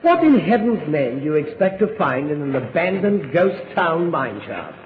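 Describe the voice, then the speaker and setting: An actor playing a proper, fussy, loyal manservant sidekick, deferential but drily skeptical, in 1940s radio drama style. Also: male voice, on the high side, sing-song, normal speed, a little hiss or hum. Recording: tinny, narrowband old radio recording.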